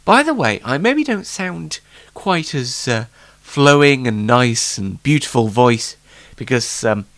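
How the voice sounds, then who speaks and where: Congested man hosting, with animated, swooping pitch. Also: takes it slowly, no room sound. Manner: self-deprecating, jokey, rambling. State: congested from hay fever. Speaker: talk show host. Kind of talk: casual solo late night talk show chat.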